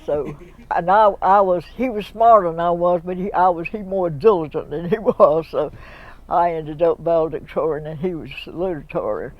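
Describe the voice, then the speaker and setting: A woman over a hundred years old, telling school memories with lively humor. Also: on the low side, moderate pace, dull audio. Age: 100s. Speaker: interviewee. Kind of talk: oral history reminiscence.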